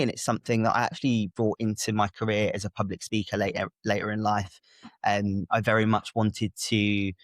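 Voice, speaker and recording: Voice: medium pitch, no room sound. Reflective non-binary speaker. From Italy. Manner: reflective and open, many soft hesitations. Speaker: guest, activist and public speaker. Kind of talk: candid interview talk.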